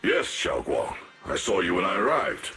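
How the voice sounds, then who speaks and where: Stern martial arts master, very low voice, measured and grave, roomy echo, game dialogue. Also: male voice, huge pitch swings, vocal fry, bright, forceful tone, exaggerated voice. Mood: calm, humble. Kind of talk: video game voice acting. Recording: roomy echo, music underneath.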